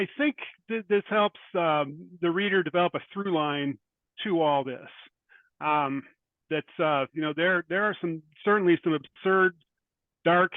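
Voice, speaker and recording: A man's voice: fairly high pitch, no room sound. A man in his fifties or older answering interview questions as an author, hesitant and reflective. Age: 50s or older. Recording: tinny phone-like line, dry.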